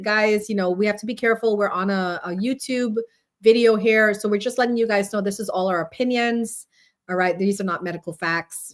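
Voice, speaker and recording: A woman from Guyana hosting a casual group discussion online, speaking informally to co-panelists and listeners. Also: mid-range voice. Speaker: host of an online panel talk.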